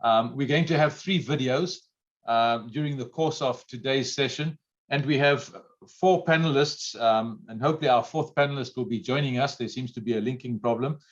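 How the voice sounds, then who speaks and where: A man's voice: medium pitch, moderate pace. Big-voiced man moderating, dull lo-fi audio. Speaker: panel moderator. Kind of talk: semi-formal panel introduction. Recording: dull, lo-fi online call audio.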